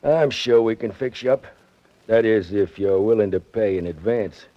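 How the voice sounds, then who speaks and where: Man acting a low-voiced, smugly mocking shady hotel clerk. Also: moderate pace, close to an everyday voice. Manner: smug, mock-courteous, insinuating. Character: shady, mocking male flophouse desk clerk. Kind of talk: 1940s radio crime drama acting. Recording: muffled vintage 1940s radio recording.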